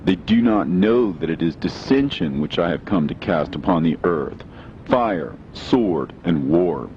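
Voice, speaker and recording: Deep-voiced man solemnly reading scripture. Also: expressive, measured pace, a little mellow, dull audio, a little hiss or hum. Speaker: reader of religious scripture. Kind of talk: scripted audiobook narration of scripture.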